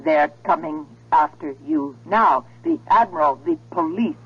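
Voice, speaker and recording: Casting: ominous otherworldly voice, high-pitched and unhurried, urging and commanding. Vintage radio play. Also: male voice, sing-song, creaky, a bit bright, breathy edge, exaggerated voice. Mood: ominous, insistent. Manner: slow, commanding. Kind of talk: 1970s radio drama acting. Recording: muffled old radio broadcast.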